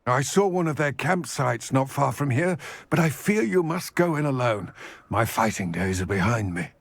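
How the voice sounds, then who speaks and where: An actor playing an old male goblin in a video game, with a gravelly, husky voice and an urgent, commanding manner. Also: mid-range voice, expressive, moderate pace, dry recording. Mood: alarmed. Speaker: quest giver. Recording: dry studio voice.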